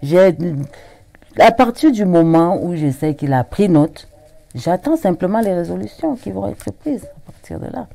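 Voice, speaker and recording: A woman with a Cameroonian French accent, a regional councillor interviewed as a guest on a TV studio set, speaking in halting phrases full of repetitions. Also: on the low side, expressive, creaky, warm tone, no room sound. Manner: halting, many repetitions and restarts.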